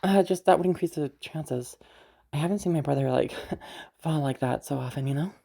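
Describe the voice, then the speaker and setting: A woman playing a teasing, self-assured, sardonic yet protective young woman in a listener roleplay, rambling and halting as she talks. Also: sits low, vocal fry, mellow, slightly rough, full character voice. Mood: concerned, hesitant. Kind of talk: roleplay audio drama addressed to the listener.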